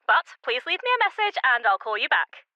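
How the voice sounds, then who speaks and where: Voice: sits high, very animated, a little fast, very noisy. An actor playing a wry, self-deprecating lab scientist with macabre humour, recording a phone voicemail greeting. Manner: dry joking, self-deprecating. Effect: phone voicemail filter. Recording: dull, band-limited voicemail.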